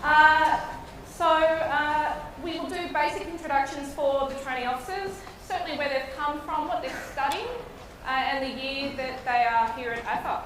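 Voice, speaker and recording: A woman's voice: high voice, fairly even intonation, normal speed, background noise. Australian woman, panel moderator, formal and polite; distant mic, big reverberant hall. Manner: polite, formal, frequent "uh" fillers.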